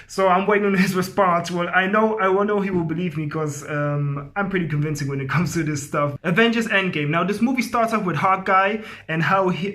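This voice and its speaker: Male reviewer, high-pitched voice, informal and enthusiastic, talks like a fan and repeats himself for emphasis. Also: sharp tone. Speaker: video movie reviewer. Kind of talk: casual excited movie review.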